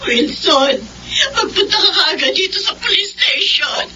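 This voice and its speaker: Shrill, distraught Filipino mother-in-law. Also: lively intonation, measured pace, shouting. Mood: frantic. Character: distraught older mother-in-law, urgent and tearful. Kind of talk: Filipino radio drama acting. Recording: distant, dull, noisy phone-call sound.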